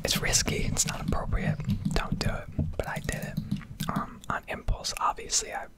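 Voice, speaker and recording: A young man from the United States whispers a candid, sweary, conversational ASMR ramble in a breathy, unvoiced voice, close to the microphone. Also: normal speed. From United States, Connecticut. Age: young adult. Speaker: ASMR streamer. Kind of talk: whispered ASMR rambling rant over gameplay.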